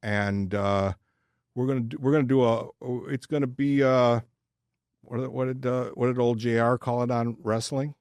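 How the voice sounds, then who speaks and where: A man's voice: medium pitch, steady tone, normal speed, a little mellow, smooth, no echo, studio quality. A man co-hosting a casual sports podcast, chatting informally and jokingly, often stammering and repeating his words. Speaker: podcast co-host.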